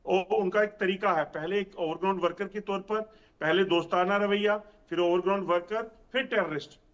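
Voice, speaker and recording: A man's voice: high voice, fairly even intonation, moderate pace, highs cut off. A man giving explanatory commentary as a news panel guest, laying out his points step by step.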